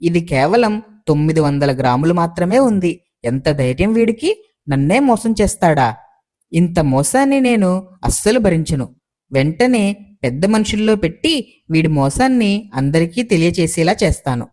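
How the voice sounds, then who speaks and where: An actor playing a suspicious, indignant village baker in a moral story, quick to accuse others. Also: male voice, on the high side, big pitch swings, darkish tone. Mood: angry. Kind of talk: acted dialogue in a moral story.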